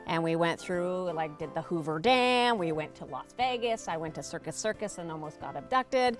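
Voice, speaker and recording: A woman's voice: on the low side, expressive, moderate pace, faint music behind. A woman from Canada telling personal stories casually on a panel show. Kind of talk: casual chat, personal storytelling.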